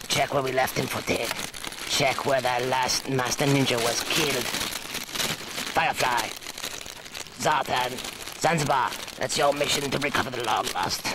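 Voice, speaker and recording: A man voicing a bossy, scheming terrorist commander in an amateur action-figure cartoon, pompous and deliberate, on a home-made recording. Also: mid-range voice, lightly acted. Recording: very noisy home-made cassette dub.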